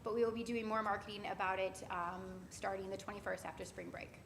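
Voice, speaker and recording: A woman presenting to staff and taking questions in a large auditorium, in a brisk, upbeat way. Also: medium pitch, fairly even intonation, vocal fry. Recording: roomy hall with loud background noise.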